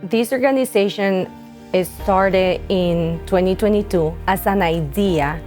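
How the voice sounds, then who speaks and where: A woman's voice: mid-range voice, measured pace, a little mellow, faint music behind. A young woman from Honduras, founder of a nonprofit, giving an earnest, heartfelt testimony with some hesitations. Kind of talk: documentary testimony interview.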